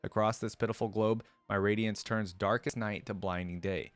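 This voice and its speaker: A man's voice: on the low side, fairly even intonation, moderate pace, dry recording, close to an everyday voice. A man voicing a proud, vengeful celestial dragon in grandiose, florid storybook narration. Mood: proud, awed. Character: proud, vengeful celestial star-forging dragon held captive.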